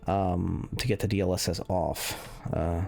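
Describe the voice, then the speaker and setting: A man's voice: sits low, little pitch movement, a little slow, gravelly, darkish tone. A man giving casual, unscripted commentary as a game reviewer, explaining things in a meandering, hesitant way. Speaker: video game reviewer running benchmarks. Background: music under the voice.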